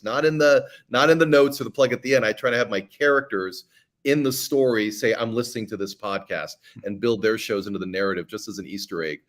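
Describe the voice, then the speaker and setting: A man's voice: on the low side, a bit bright. A middle-aged man who creates fiction podcasts, talking earnestly as an interview guest and often restarting his sentences. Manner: earnest, with frequent restarts and repeated words. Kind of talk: conversational interview answers.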